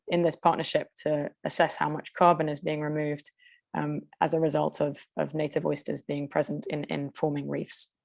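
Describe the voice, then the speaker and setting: Fast-talking English-accented woman, gravelly low. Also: a little mellow, no room sound. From Denmark. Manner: quick, with frequent fillers and restarts. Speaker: conference presenter. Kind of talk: webinar presentation and Q&A. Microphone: video call.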